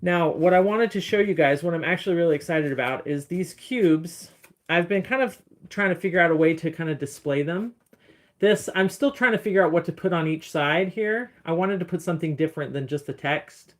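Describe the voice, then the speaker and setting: A woman with a deep voice chatting casually on a phone livestream from her studio, rambling a little as she answers viewers' comments. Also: animated, a little fast. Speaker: encaustic artist streaming live. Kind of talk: casual livestream chat while working.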